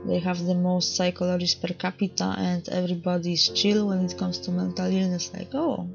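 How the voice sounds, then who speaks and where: Woman hosting a chat, gravelly voice, kinda dull lo-fi call audio, hunts for her english words. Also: on the low side, level tone, brisk, faint music behind. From Poland. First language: Polish. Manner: hesitant, searching for English words. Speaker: podcast host. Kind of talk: casual online group chat.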